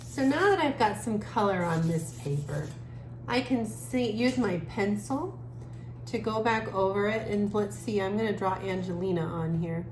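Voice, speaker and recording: A woman giving a casual art lesson for children, musing gently aloud as she draws. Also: medium pitch. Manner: gentle, musing, talks while drawing with trailing pauses. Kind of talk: casual creative drawing lesson, thinking aloud. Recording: echoey room with clear background noise.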